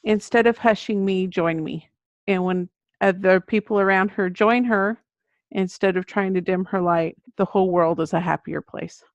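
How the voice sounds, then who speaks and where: Casting: middle-aged female interview guest, conversational author type, low creaky voice, dull lo-fi sound. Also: normal speed, no echo. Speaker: guest, children's book author. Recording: dull lo-fi audio.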